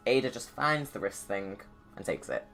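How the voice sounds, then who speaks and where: A woman's voice: very deep voice, big pitch swings, normal speed, music in the background. A woman giving informal, rambling commentary on her own game movie. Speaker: video creator, commentator. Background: game audio and ambience.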